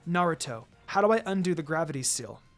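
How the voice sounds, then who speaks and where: A man voicing a gentle, focused teenage ninja girl in a storybook reading, composed, careful and polite. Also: a little high, expressive, a little fast. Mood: urgent. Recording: music behind the voice.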